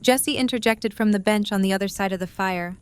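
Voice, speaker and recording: A woman's voice: medium pitch, fairly quick, clean tone. A woman reading scripted story narration as the narrator of an audio drama.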